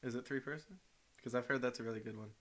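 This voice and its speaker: A man's voice: mid-range voice, fast talker, fairly bright tone. A man chatting casually with friends as a podcast guest. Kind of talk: casual banter among friends.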